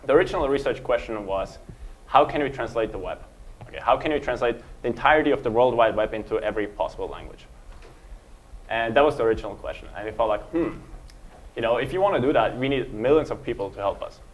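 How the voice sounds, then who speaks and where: A man, giving a relaxed conference talk full of ums and uhs. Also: medium pitch, quick pace. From Switzerland. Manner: relaxed, self-deprecating, frequent um and uh. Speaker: panel speaker.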